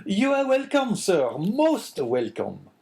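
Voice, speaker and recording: A man's voice: high voice, very animated, unhurried, a little mellow, pitched above the performer's own voice. A man voicing an obsequious, talkative French innkeeper who is eager to please, in a storybook reading. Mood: eager, fussing. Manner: fawning, effusive, talkative.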